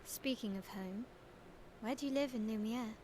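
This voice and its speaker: A woman's voice: a little high, moderate pace, noisy background, lightly acted. An actress playing a determined young woman fighter in a cinematic video game. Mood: friendly, curious. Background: loud game music.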